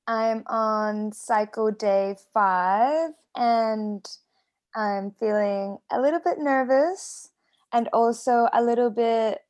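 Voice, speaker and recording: A woman's voice: fairly high pitch, a little mellow. A woman in her twenties from Venezuela, a podcast guest who chats casually with shy giggles and hesitant fillers. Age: 20s. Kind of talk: casual interview chat.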